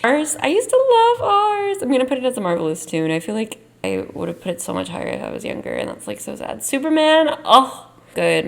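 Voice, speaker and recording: A woman's voice: mid-range voice, gravelly. A young woman vlogger chatting casually as she ranks songs, her voice worn from losing it, rambling with lots of "like". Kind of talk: casual tier-ranking chat.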